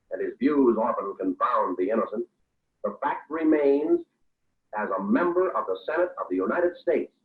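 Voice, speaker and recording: A man playing a grave, sardonic newsman narrator in measured 1940s radio style, replayed through a speaker. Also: medium pitch, recorded from a distance. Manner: measured, grave, ironic oratory. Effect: played through a speaker into a call. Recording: tinny, roomy old radio playback.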